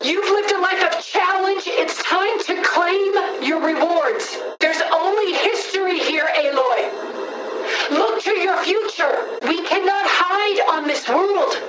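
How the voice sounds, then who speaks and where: A woman's voice: very high-pitched, slow. An actress voicing a commanding, possessive female villain in a video game, speaking formally with imperious, dramatic emphasis. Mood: persuasive, urgent. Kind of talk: video game combat voice lines. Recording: distant, echoing voice with background noise.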